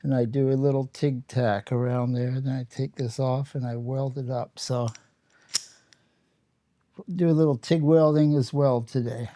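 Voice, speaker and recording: A man's voice: medium pitch, fairly even intonation, measured pace, dark tone. A man with a Canadian accent casually explaining hands-on workshop steps as he works, plain-spoken and matter-of-fact. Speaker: host of a workshop how-to channel.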